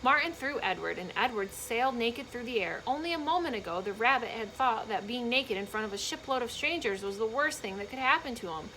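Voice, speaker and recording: Bright-voiced woman reading a children's story. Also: on the high side, brisk, hardly any pauses, a little hiss or hum, poor audio. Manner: expressive, emphatic storytelling. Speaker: read-aloud storyteller for children. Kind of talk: children's book read-aloud with explanatory asides.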